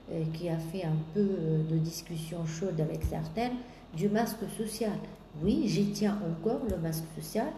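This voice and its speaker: A woman business coach giving a sales coaching talk, pausing often on drawn-out fillers and listing points in repetition. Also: lowish voice, dark tone. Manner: hesitant, many drawn-out "euh" fillers, repetitive lists. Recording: echoey room with faint background noise.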